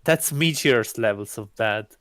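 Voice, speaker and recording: A man's voice: lowish voice, a little slow, a bit bright, no echo, clean studio sound. A man casually reading a visual novel aloud and bantering about it as he goes. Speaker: visual novel reader and commentator.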